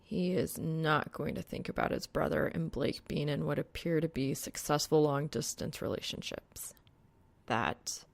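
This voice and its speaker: Low, creaky-voiced woman narrator. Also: unhurried, no room sound. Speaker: audiobook narrator. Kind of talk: scripted fan fiction narration.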